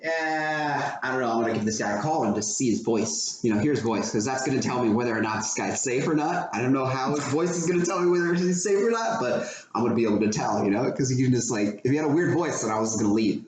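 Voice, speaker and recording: A man with an American accent and a mid-range voice talks loosely and swears freely, like a co-host swapping stories. The room is echoey, with some noise behind him. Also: mid-range voice. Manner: rambling, profane, quick to laugh. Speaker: podcast co-host telling stories. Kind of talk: casual chat, anecdotes. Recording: roomy echo with clear background noise.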